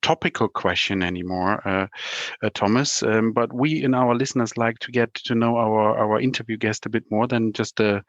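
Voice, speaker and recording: Hesitant low-voiced man, muffled and dry. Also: no echo. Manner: hesitant, many "uh" and "um" fillers. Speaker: podcast co-host. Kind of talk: casual conversational interview. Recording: dry, dull lo-fi sound.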